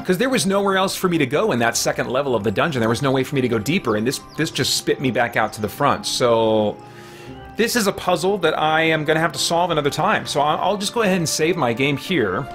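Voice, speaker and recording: A man in his thirties or forties chatting casually on a game livestream, thinking aloud in a halting way. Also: a little high, expressive, a bit bright, soft background music. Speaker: video game live streamer. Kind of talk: casual livestream chat while gaming. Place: small indoor room with shelves.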